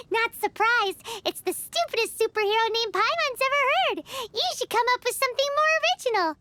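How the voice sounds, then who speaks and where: A tiny, excitable female sprite who chatters and teases without pause. Her voice sits extremely high and bounces all over in a sing-song way. Game character dialogue. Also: dry recording, heavily acted. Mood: teasing. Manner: excitable, teasing, quick to chatter.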